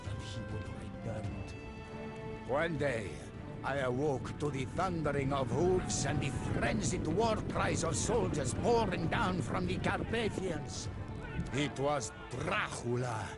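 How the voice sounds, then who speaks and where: An actor playing a solemn storyteller who recounts a medieval warlord's tale in dramatic game cutscene narration. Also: male voice, a little high, animated, slow. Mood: dramatic, intense. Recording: music behind the voice.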